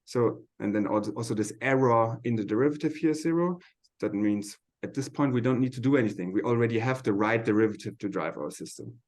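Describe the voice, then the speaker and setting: A man's voice: medium pitch, fast, a little mellow. Hesitant man giving an informal technical lecture. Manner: informal, hesitant, thinking aloud. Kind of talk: lecture-style technical explanation at a seminar.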